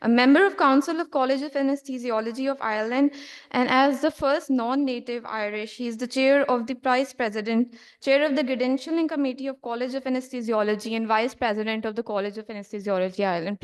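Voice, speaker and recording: Female session moderator; courteous, formal introductions, high voice. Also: a little fast, clear, resonant tone. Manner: polite, reads out credentials.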